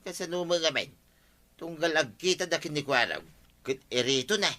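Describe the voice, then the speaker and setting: A man's voice: a little high, expressive, normal speed, pushed and bright. A man playing a grumbling villager fed up with squabbles in an Ilocano radio comedy drama.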